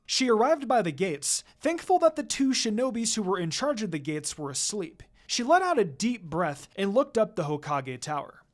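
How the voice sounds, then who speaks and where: Lively-pitched woman narrating fan fiction. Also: normal speed, hardly any pauses.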